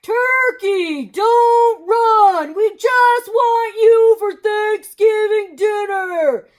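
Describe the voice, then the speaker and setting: A woman's voice: very high-pitched, voice pushed up, full character voice. A woman putting on a sweet-talking jolly moose. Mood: coaxing. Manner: sweetly coaxing, drawn-out delivery. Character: jolly, polite male moose host.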